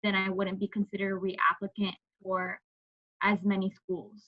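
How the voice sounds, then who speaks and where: A medium-pitched woman's voice comes through dull, lo-fi video-call audio. She is a medical student on the panel and explains her choices in an earnest, reflective way. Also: completely flat delivery, moderate pace. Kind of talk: casual account on a video call.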